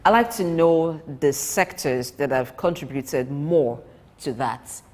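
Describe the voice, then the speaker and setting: A woman's voice: low voice, slow, darkish tone. A woman interviewing a guest for TV, probing and steering his answers back to her question.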